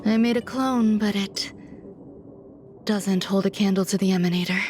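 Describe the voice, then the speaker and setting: An actress voicing an aloof genius woman scientist in a video game, speaking in a measured, formal, detached way. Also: medium pitch, fairly even intonation, darkish tone, soft background music, natural voice. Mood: detached, musing. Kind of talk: scripted video game dialogue.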